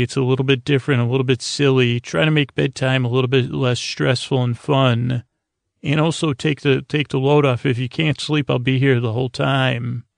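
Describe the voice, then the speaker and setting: A man hosting a bedtime podcast in a casual, meandering monologue, halting and self-deprecating, often trailing off mid-thought, with a gravelly voice. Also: medium pitch, no echo, clean studio sound. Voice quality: gravelly, creaky. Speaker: podcast host.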